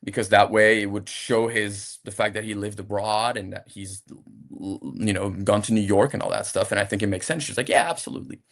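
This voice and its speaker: French-accented man, low voice. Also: fairly quick, creaky, few pauses. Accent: French, softened by years abroad. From France. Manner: relaxed, hesitant, many restarts. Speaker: actor guest. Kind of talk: casual interview chat.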